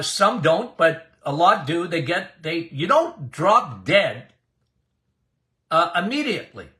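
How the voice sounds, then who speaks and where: An older man, a doctor hosting a health podcast, speaking loudly and bluntly in a casual, emphatic monologue. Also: high-pitched. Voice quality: loud voice.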